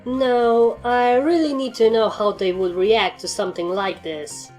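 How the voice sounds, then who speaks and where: A woman's voice: fairly high pitch, fairly even intonation, unhurried, a little mellow, voice pushed up, lightly acted. A woman voicing a stern, easily annoyed mare who commands a squad of ponies, speaking in a commanding, matter-of-fact way over music in a story reading. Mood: disappointed, explaining.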